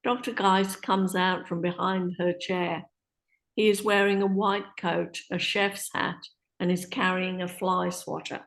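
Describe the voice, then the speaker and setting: A woman's voice: on the low side, level tone, a little slow, creaky. A woman reading stage directions as narrator in a scripted play reading.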